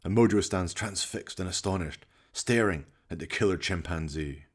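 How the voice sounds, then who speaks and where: Low-voiced man narrating. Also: normal speed, husky. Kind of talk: dramatic scripted narration of a radio play.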